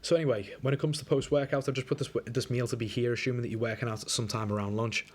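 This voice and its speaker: A man's voice: medium pitch, steady tone, racing. A young man coaching a client on a diet plan in a casual, chatty way, sounding a bit wheezy from a coming flu. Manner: laid-back, chatty, lots of fillers. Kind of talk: casual screen-recorded walkthrough. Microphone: screen recording.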